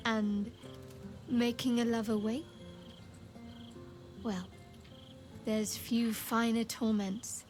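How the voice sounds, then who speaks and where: A woman playing a young cleric of a dark goddess in a video game, devout and guarded, with a sly, teasing, measured way of talking. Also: a little high. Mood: coy, teasing. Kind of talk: video game character dialogue.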